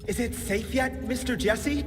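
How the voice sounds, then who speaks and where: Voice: mid-range voice, a little slow, over music, lightly acted. A performer voicing an eager, chatty young inventor sidekick in a video game, wordy and polite but cheeky. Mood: wary, cheerful. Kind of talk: video game cutscene acting.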